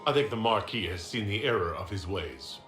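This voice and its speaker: Courteous fantasy leader, measured, low pitch, roomy, music behind. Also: male voice, animated, fairly bright tone. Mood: calm. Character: composed, courteous male leader, fantasy game hero.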